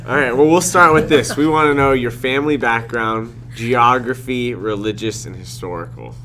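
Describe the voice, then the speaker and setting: A man's voice: medium pitch, normal speed. A young man interviewing his teacher in a classroom, asking long, rambling questions eagerly. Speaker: student interviewer. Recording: steady background noise.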